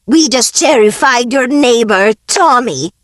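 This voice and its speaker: Woman as an earnest, slow-talking storybook monster. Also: on the high side, expressive, no room sound, studio quality. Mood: solemnly boastful. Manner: solemn, insistent. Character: earnest, prim horrible monster trying to scare. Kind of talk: children's storybook read-aloud.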